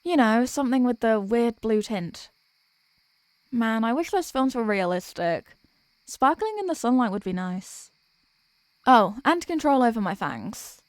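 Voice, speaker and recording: Woman voicing a theatrical high-voiced vampire girlfriend. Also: big pitch swings, measured pace, no echo. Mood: chatty. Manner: theatrical, pleading, mock-tragic. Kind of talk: scripted roleplay audio monologue.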